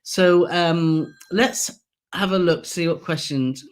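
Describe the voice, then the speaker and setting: A woman with a hoarse, croaky throat co-hosting a casual live Q&A, chatty and giggly as she reads out viewer comments. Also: lowish voice, takes it slowly. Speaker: co-host of a travel channel live stream.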